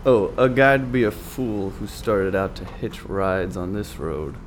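Casting: male actor as talkative, folksy filling-station attendant, lowish voice, radio play, clear vehicle hum behind. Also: animated, unhurried. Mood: amused, doubtful. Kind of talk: radio drama acting.

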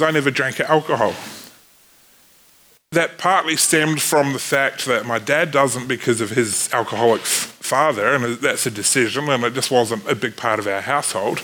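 A man's voice: medium pitch, pushed and bright. A man in his thirties with a New Zealand accent, preaching a sermon in an earnest way with self-deprecating asides.

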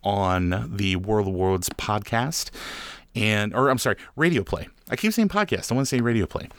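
A man's voice: on the low side, lively intonation, moderate pace, dry recording, studio quality. A man interviewing someone casually, joking and rambling as he goes. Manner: jokey, rambling.

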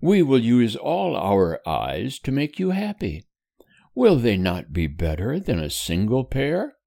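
A man reading the part of a gentle, cheerful mother consoling her son, tender and soothing in an audiobook. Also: medium pitch, huge pitch swings, slow pace, no room sound, studio quality, voice pushed up, heavily acted.

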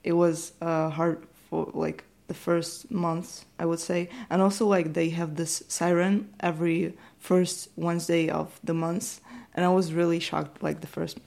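Teenage Ukrainian woman, gravelly voice. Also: fairly low pitch, flat delivery, a little slow, a little mellow. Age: teens. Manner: hesitant, many fillers and restarts. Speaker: student interviewee. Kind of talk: casual interview answers.

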